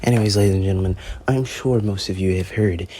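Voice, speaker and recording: Brief: teen boy, gaming YouTuber, deep husky voice, rambling and foul-mouthed review. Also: fast talker, warm tone. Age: teens. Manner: rambling, profane, joking. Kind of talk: gameplay review commentary.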